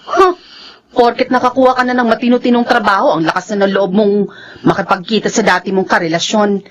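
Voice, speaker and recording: An actress with a Filipino accent playing a worried, sharp-tongued wife in a radio drama. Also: female voice, mid-range voice, fairly quick, close to an everyday voice. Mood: suspicious. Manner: suspicious and needling, then apologetic.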